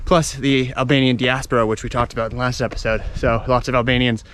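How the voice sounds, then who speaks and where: Man, medium pitch, travel vlogger, casual unscripted commentary, chatty explainer, outdoors on foot, faint ambient noise. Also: level tone, fast talker, fairly bright tone. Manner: chatty, informal, explaining as he explores. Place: outdoors, walking around a hilly town.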